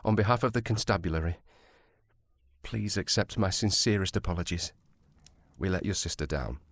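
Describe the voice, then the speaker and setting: Earnest period sergeant, measured and formal, remorseful, lowish pitch. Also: male voice, no echo, studio quality, heavily acted. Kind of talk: period audio drama acting.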